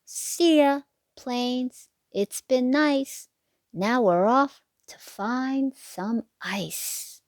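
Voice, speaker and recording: Female storybook reader, unhurried and expressive, slight creak in the voice, close dry sound. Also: high voice, no room sound. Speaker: read-aloud narrator for children. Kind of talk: children's picture book read-aloud.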